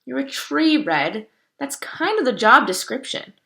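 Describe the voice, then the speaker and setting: A woman's voice: high-pitched, sing-song, a little slow, gravelly, bright, forceful tone, pitched above the performer's own voice, heavily acted. A woman voicing a sassy, skeptical female crow in a read-aloud story, blunt and teasing in a casual way.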